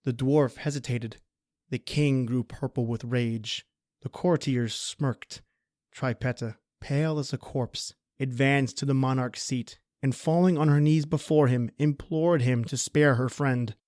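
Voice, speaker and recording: Male narrator for radio horror drama: medium pitch, theatrical scripted reading, dry studio-like sound. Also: fairly even intonation, measured pace, a little mellow, no echo.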